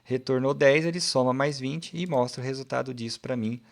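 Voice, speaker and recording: A man's voice: mid-range voice, a little fast, gravelly. A man, walking through a coding lesson step by step and often checking in with the listener. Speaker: programming instructor. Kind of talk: screen-recorded coding tutorial.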